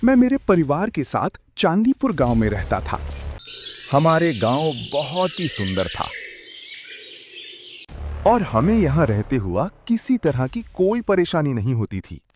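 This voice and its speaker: A man's voice: fairly high pitch, very animated, measured pace, music in the background. A man playing an eager young village grandson, telling his story in an earnest, simple way. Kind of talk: first-person story narration and dialogue.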